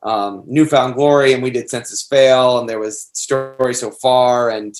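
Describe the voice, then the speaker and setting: A man's voice: mid-range voice, fairly even intonation, unhurried, low-quality recording. A young American man in a band, telling stories in a casual, slangy, rambling way during an interview. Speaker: band member being interviewed. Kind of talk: casual chat.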